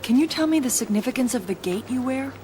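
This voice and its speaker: A woman's voice: sits high, lively intonation, fast. Curious female anthropologist, noisy ambience. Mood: curious, puzzled. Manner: polite, inquisitive. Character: earnest, curious young female techno-anthropologist. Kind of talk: radio play acting.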